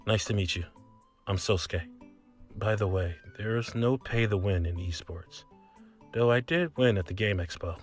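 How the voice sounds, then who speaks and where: A man's voice: lowish voice, measured pace, soft background music, lightly acted. An actor playing a mild, polite young male gamer with an understated manner in a visual novel game.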